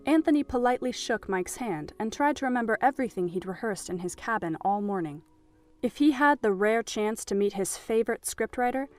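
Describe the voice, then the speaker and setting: A woman with a mid-pitched voice reads scripted narration for an audio drama. She tells the story as narrator, with soft music underneath.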